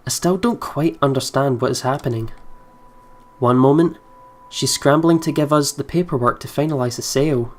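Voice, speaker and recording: A man hosting a let's play, reading game narration aloud and adding casual commentary. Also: mid-range voice. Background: game music.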